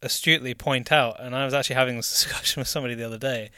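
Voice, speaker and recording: A man interviewing a guest in a relaxed, casual conversation, with easy laughs and joking asides. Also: medium pitch, racing, no echo.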